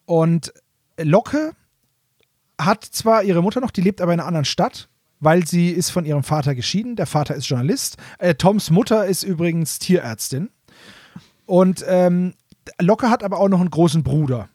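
A man with a fairly high voice talks as a podcast co-host. He chats casually and explains carefully, often rewording his points. The sound is close and very dry. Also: expressive, normal speed, a little mellow, breathy edge, dry recording. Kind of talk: casual nostalgic chat about audio dramas.

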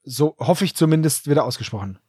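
Podcast host, man, higher-pitched, chatty and dry-humoured, dry close mic. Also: animated, quick pace, mellow, breathy edge, no room sound. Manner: chatty, hedging on names, dry jokes. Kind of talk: casual chat reviewing an audio drama.

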